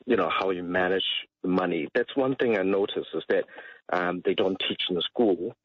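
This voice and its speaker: Husky, low man, chatting haltingly. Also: no room sound. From Malaysia. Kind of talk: casual chat. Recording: dull, slightly muffled radio audio.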